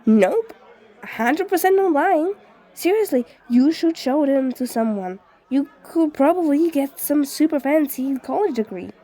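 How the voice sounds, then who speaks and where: Woman voicing an excitable, gushing teenage ghost boy. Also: high voice, unhurried, pitched above the performer's own voice. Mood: insistent. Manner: gushing, eager, stumbles over words.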